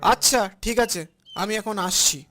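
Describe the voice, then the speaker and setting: A man's voice: high-pitched, moderate pace, sharp tone. A man voicing a humble, hardworking village husband and doting father in a cartoon, earnest and full of advice. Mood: hurried, caring. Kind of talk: Bengali cartoon voice acting.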